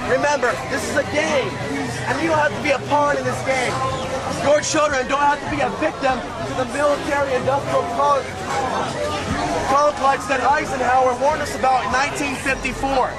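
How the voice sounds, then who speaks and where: A very high-pitched American man delivers a confrontational street speech through a megaphone. He declaims in strident, repeated slogans. Loud music and a busy crowd surround him outdoors in the city. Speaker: street activist. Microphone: amplified megaphone caught by a bystander's camera. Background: music, crowd, vehicles.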